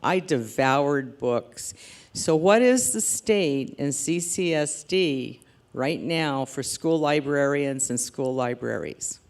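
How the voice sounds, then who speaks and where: Audience member asking a question at a forum, slow and deliberate. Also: medium pitch, lively intonation. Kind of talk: prepared question at a public forum.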